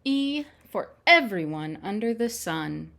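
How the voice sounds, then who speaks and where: Female storytime reader, unhurried pace, mid-range voice, simple measured phrasing for young children. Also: mid-range voice, big pitch swings, creaky, a bit bright, a little hiss or hum. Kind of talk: read-aloud picture books and action songs for young children. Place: at home.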